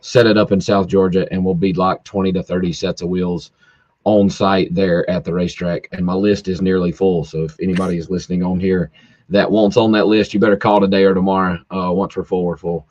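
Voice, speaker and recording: A man who races drag cars, hoarse from yelling, talking in a rambling casual way full of fillers and restarts over a call. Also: deep voice, level tone, brisk, no echo, lo-fi sound. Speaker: drag racer guest. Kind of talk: casual podcast chat. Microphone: video call, home internet.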